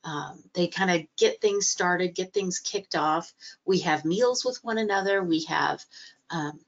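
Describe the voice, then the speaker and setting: A woman's voice: lowish voice, measured pace. A middle-aged woman, recovering from a cold and a little stuffy, giving a hesitant webinar talk full of fillers and restarts over a video call. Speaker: guest speaker, library trainer. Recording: muffled video-call audio.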